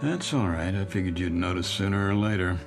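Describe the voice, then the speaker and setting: Worn out middle-aged exec husband in a radio play, low voice, self-pitying and sardonic, music under it. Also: male voice, lively intonation, brisk. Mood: guarded, weary. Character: weary, bitter middle-aged executive husband yearning for escape. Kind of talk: modern radio drama acting. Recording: produced radio drama with background music.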